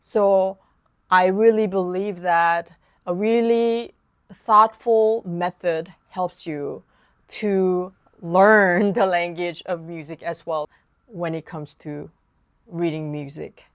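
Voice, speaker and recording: Slow, calm woman piano teacher. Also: fairly low pitch, no room sound, studio quality. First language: Korean. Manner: calm, unhurried explaining with casual fillers. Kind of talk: online lesson talk.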